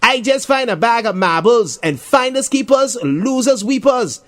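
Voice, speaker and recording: A man's voice: very high-pitched, sing-song, moderate pace, pitched above the performer's own voice. A man doing a taunting young bully boy. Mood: mocking. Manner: taunting, sing-song.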